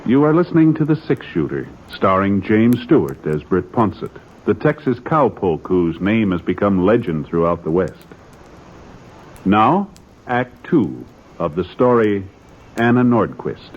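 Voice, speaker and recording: Animated low-voiced American radio announcer man. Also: measured pace. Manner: formal, dramatic delivery. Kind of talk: 1950s radio program announcing, scripted. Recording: muffled old radio recording, faint noise.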